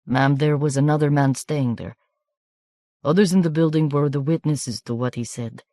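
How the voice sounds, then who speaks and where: A woman's voice: low voice, normal speed, dark tone, no echo, studio quality, close to an everyday voice. A woman reading a stiff, deferential policeman. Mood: formal. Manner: stiff, formal speech. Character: male police official, formal and deferential.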